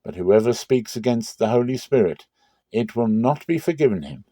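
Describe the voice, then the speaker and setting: A man's voice: medium pitch, expressive, normal speed, warm tone, no room sound, natural voice. A man voicing an authoritative, earnest male teacher in a dramatic scripture reading, with emphatic, rhetorical preaching. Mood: forceful, admonishing.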